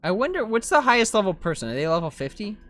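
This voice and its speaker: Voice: medium pitch, very animated, quick pace, a bit bright, faint music behind. A game streamer giving casual live commentary in an informal, self-questioning way. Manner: informal, self-questioning, often ends with "right?".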